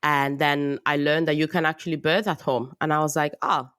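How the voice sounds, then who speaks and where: Low-voiced Italian woman, dry sound. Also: brisk, clear, resonant tone, no echo. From Italy. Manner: laughs often, relaxed non-native phrasing. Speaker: guest, birth coach. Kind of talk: casual interview chat.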